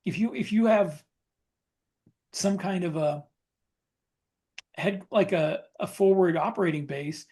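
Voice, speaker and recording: Male podcast co-host, high-pitched voice, casual and opinionated explainer. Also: a little slow, pauses often, mellow, breathy edge. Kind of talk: casual chat about guns and military life.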